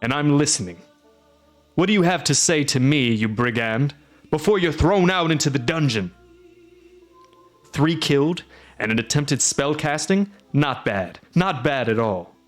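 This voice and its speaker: A man voicing a loud, gruff middle-aged castellan who speaks emphatically with sharp rises. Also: on the high side, animated, measured pace, soft background music, voice pushed up. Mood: stern. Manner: exclaiming, emphatic, rising.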